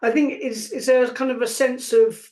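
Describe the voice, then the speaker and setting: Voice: sits high, fairly even intonation, moderate pace, darkish tone. A podcast host interviewing a guest in a casual, hesitant way, asking questions over an online call. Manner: hesitant, many fillers and restarts.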